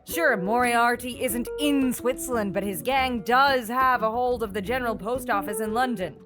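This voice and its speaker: A woman's voice: on the high side, normal speed, music in the background, lightly acted. A woman playing a shrewd, scolding adventuress in an audio drama, sardonic, knowing and commanding.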